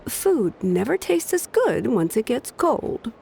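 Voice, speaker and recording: A woman playing an unhurried, hospitable food server in a video game. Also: a little high, very animated, vocal fry, dark tone, a little hiss or hum, heavily acted.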